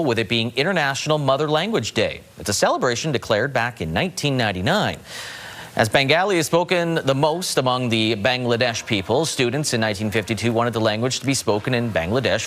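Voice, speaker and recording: A man's voice: mid-range voice, fairly bright tone. A man anchoring a local evening newscast, reading stories and market prices. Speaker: news anchor.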